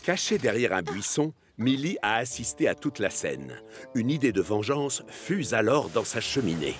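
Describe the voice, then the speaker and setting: A man's voice: fairly low pitch, very animated, normal speed, faint music behind. A man narrating a children's cartoon story in a scripted storytelling style.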